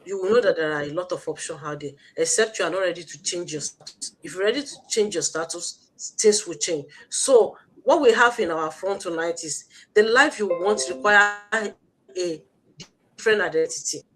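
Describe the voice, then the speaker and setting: A Nigerian woman hosting an online livestream, giving a motivational pitch with emphatic, repetitive, preacher-like exhortation. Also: moderate pace, a bit bright, slight background noise, poor audio. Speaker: host of an online business promotion livestream.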